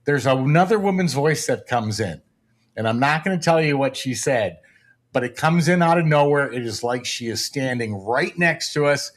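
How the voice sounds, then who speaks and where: A man's voice: mid-range voice, lively intonation, normal speed. A man talking casually and explaining things as a paranormal investigator and guest.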